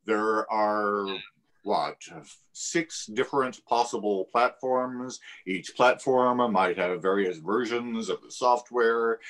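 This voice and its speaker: A man speaking as an interview guest, explaining things in a deliberate, wry way. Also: mid-range voice, fairly bright tone. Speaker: cast member and interview guest.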